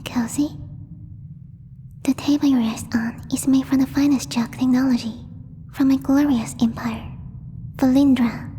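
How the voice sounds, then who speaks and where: A woman voicing a gentle, timid alien girl in a soft, breathy ASMR roleplay, speaking formally and haltingly as she searches for words. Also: sits high, fairly even intonation. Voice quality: breathy, whispery. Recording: long reverb, faint background noise.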